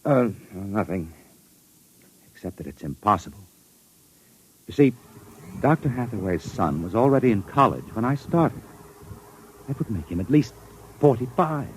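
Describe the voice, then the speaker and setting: An actor playing a courteous, inquisitive spaceship captain in 1950s radio drama style. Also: male voice, fairly low pitch, very animated, moderate pace, long pauses. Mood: puzzled. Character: spaceship captain, polite but probing middle-aged man. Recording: noisy vintage 1950s radio recording.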